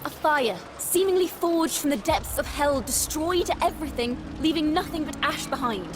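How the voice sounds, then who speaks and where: A woman's voice: high-pitched, expressive, normal speed. A woman playing a bold, defiant young poet and orator in a video game, speaking in an earnest, theatrical, declamatory way. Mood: dramatic, resolute. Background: background music.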